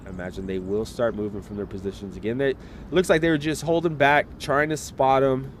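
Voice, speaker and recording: A man casting a live esports match, relaxed and chatty, talking straight to viewers while getting over a slight cold. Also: medium pitch, fairly quick, a little hiss or hum. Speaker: esports caster.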